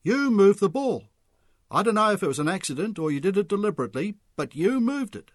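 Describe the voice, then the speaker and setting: A man voicing a big, fearless man who speaks loudly and firmly, in a storybook reading. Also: sits high, big pitch swings, fairly quick, no echo, clean studio sound, pitched above the performer's own voice. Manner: loud, firm.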